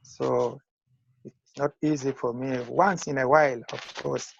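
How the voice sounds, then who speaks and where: An older man in his sixties with a Ugandan accent, giving measured, formal interview answers as a school founder. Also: mid-range voice, steady tone, gravelly, a little hiss or hum. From northern Uganda. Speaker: school founder and retired teacher. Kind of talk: interview answers on a video call. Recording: muffled lo-fi call audio.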